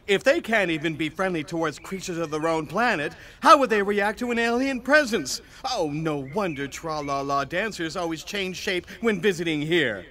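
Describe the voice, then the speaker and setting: A man playing an excitable alien disguised as a little dog, theatrical and exclamatory, in a sci-fi audio drama. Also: on the high side, normal speed, few pauses. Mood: indignant, weary. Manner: theatrical, exclamatory, arguing with himself.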